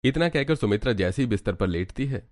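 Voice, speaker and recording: A man's voice: mid-range voice, expressive, quick pace, darkish tone. A man narrating a scripted cartoon story.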